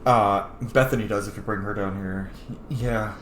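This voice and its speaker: A man's voice: fairly low pitch, fairly even intonation, moderate pace, a bit bright, a little hiss or hum. A man hosting a roleplay playthrough with casual game commentary. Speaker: host, gaming streamer. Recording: roomy echo, game music behind.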